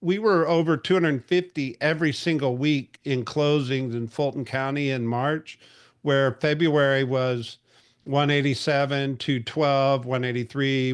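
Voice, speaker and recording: A man in his forties hosting a real estate industry call, talking casually with lots of fillers and restarts. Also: medium pitch. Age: 40s. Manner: chatty, rambling, frequent fillers and restarts. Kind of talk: casual market update briefing.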